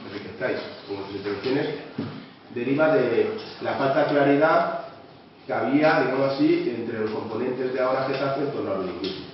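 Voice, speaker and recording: A man organizing a public debate, introducing the speakers in a rambling way, full of little tag questions. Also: medium pitch. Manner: rambling, full of "¿no?" and "o sea" tags. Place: meeting room of a local association. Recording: echoey, muffled room recording from a distant mic with background noise.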